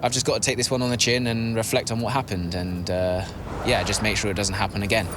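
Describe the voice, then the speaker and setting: An actor playing a guarded, self-critical young racing driver, hesitant and measured, with faint vehicle noise behind. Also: male voice, lowish voice, level tone, natural voice. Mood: guarded, reflective. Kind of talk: naturalistic game acting of a media interview.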